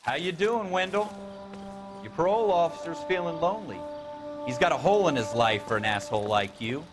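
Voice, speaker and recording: An actor playing a streetwise, wisecracking American patrol cop in a detective game. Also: male voice, on the high side, a little fast, natural voice. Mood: sardonic. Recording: music under voice.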